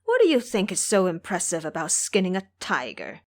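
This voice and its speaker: A woman's voice: fairly low pitch, wildly swooping pitch, brisk, full character voice. A woman voicing a brash, boastful male monkey king with a commanding, exuberant manner in an audio drama. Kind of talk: audio drama character acting.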